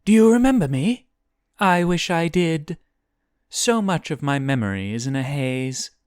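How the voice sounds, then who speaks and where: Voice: medium pitch, lively intonation, unhurried, smooth, dry recording, clean studio sound, pitched above the performer's own voice, lightly acted. A narrator voicing a young demon-born girl, eager and determined, with earnest, formal phrasing. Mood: excited.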